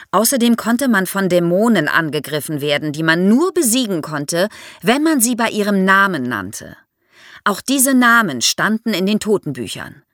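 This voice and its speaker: Animated woman explaining, close dry sound. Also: mid-range voice, no echo. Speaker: expert guest, archaeologist. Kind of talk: explanatory podcast interview for young listeners.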